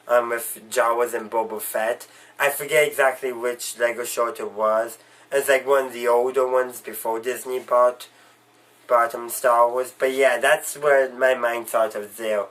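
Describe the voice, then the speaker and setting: Guy still getting over a cold, chatty review, keeps restarting his sentences, middle pitch. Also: a bit bright, poor audio. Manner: rambling, with frequent restarts and fillers. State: recovering from a cold. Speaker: video reviewer. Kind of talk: casual spoken review.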